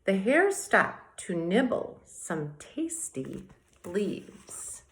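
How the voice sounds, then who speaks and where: A woman teacher reading aloud and explaining to young children in a deliberate, expressive way, speaking to them directly. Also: mid-range voice. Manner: deliberate, addresses children directly with questions and asides. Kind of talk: expressive children's read-aloud and mini lesson.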